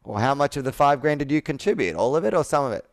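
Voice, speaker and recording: A man's voice: mid-range voice, animated, quick pace. A man in his thirties coaching sales through roleplay in a persuasive, direct manner. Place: indoor room with whiteboard, on a video call.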